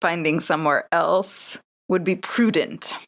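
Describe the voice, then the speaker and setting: A woman's voice: lowish voice, steady tone, a little slow. A woman playing a tabletop role-playing game on a podcast, talking casually and in character.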